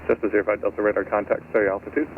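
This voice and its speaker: A man's voice: on the low side, little pitch movement, very fast. A man working as an air traffic controller, giving instructions over aviation radio. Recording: tinny, faintly noisy aviation radio.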